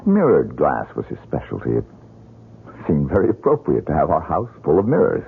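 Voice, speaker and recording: An actor playing a troubled, bitter young man in an old-time radio mystery, speaking in a halting, dramatic, husky way. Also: rumbling low, wildly swooping pitch, heavily acted. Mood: brooding. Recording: muffled, lo-fi radio drama recording.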